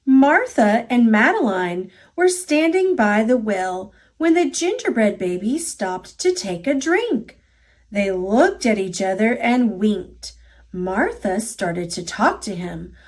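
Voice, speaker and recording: A woman with an American accent reading a children's story aloud in an expressive, deliberate manner. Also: medium pitch. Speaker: storybook reader.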